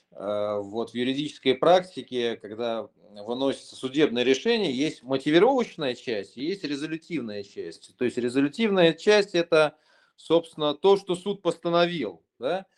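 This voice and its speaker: A man, a lawyer, explaining his view in a conversational discussion, thoughtful and full of hesitations and restarts. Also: mid-range voice.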